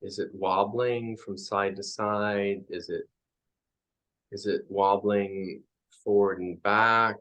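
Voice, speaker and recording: A man leading a guided meditation as a teacher, speaking in halting, reflective phrases with frequent pauses. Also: fairly low pitch, steady tone, fairly bright tone.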